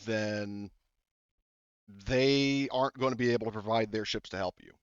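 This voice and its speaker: A man's voice: on the low side, normal speed, smooth, no room sound. A man acting as game master, talking casually with lots of fillers and restarts. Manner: casual, rambling with many fillers. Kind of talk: running a tabletop roleplaying game. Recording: dry, slightly dull lo-fi audio.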